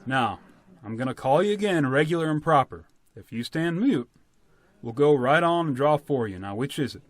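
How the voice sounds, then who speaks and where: An actor playing a brisk, folksy village official in a radio play, with rural turns of phrase and an orderly, insistent manner. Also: male voice, mid-range voice, expressive, no echo, lightly acted. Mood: firm, folksy.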